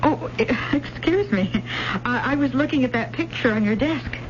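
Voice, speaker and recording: An actress playing an earnest, hesitant adult student in a radio drama, speaking with careful, storytelling delivery. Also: female voice, high-pitched, lively intonation, moderate pace. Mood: shy, admiring. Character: earnest, hesitant, probing female adult student of old ballads. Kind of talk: 1970s radio drama acting. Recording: muffled, noisy old radio broadcast.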